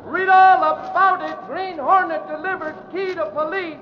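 A man's voice: very high voice, steady tone, moderate pace. An American man playing a newsboy hawking papers, calling out in singsong 1940s radio style. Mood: urgent hawking. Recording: dull vintage radio recording.

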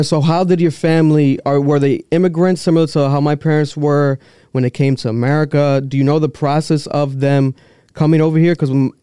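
A man hosting a casual interview, hyping up his guest with an animated, laughing delivery. His voice is mellow and smooth, recorded dry and close. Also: on the high side, no room sound. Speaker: podcast host.

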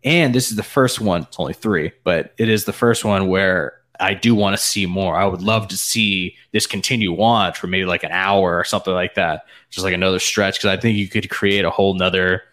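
A man's voice: deep voice, fairly even intonation, fairly quick, few pauses, a bit bright. A man on a podcast panel, chatting casually and slangily as a fan.

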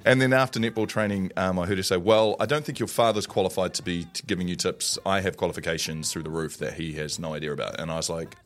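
A middle-aged man with a loud booming voice, co-hosting a casual morning show and telling a self-deprecating story. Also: steady tone, quick pace, few pauses. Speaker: co-host.

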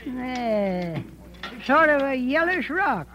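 A man playing a folksy, excitable, grumbling mining-camp workman in an old-time American radio drama, with a rustic American accent. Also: extremely high pitch, slow pace, full character voice. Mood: puzzled.

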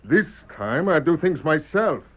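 An actor playing a cunning, confident outlaw leader who plots smugly and deliberately in a radio western. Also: male voice, fairly high pitch, sing-song. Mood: cold, determined. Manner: deliberate, smug, scheming. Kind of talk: old-time radio western acting. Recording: muffled old radio broadcast.

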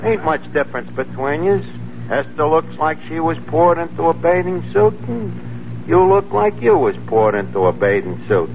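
An actor playing a wisecracking, pretentious lowbrow New York tavern manager with mock-refined diction in a 1940s radio comedy. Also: male voice, big pitch swings, moderate pace. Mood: sardonic, breezy. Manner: sardonic, mock-refined, mangles grammar and fancy words. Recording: tinny, noisy 1940s radio recording.

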